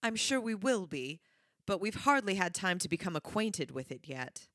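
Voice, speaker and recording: A woman's voice: medium pitch, sing-song, moderate pace, a bit bright, no echo, clean studio sound. A woman playing a polite young daughter welcoming a guest in an old-time radio drama, courteous and conversational.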